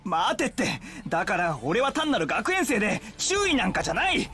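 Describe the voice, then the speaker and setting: A man's voice: high-pitched, big pitch swings, sharp tone, exaggerated voice. A man voicing a confused, agitated young student soldier with amnesia in a Japanese visual novel. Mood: flustered. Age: young adult. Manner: agitated, halting, disbelieving. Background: background music.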